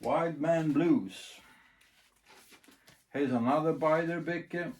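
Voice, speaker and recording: A man giving a casual, unscripted demonstration, thinking aloud in short, halting phrases. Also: fairly high pitch, darkish tone. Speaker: record collector presenting a demonstration. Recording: clear background noise, clicks.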